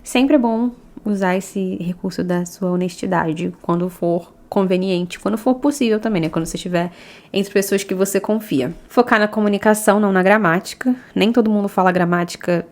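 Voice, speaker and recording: Woman, Brazilian Portuguese accent, casual podcast chat, laughs often, middle pitch, close mic. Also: a little fast. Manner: chatty, laughs often between points. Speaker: podcast host.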